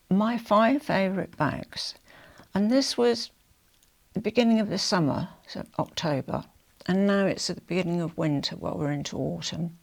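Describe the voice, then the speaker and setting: Croaky older Englishwoman chatting to camera. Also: fairly low pitch. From England. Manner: rambling, self-interrupting, confiding asides. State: hoarse, croaky from a throat ailment. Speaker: fashion vlogger.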